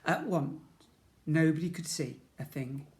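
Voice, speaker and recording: A man's voice: on the high side, measured pace, darkish tone. A man reading a storybook aloud expressively to young children, as a schoolteacher would.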